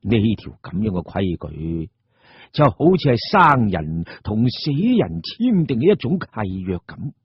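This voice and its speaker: Husky Cantonese male storyteller with animated pitch. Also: unhurried, dry recording. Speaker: storyteller, narrator. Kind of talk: dramatic scripted audio-drama narration. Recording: muffled, dull audio.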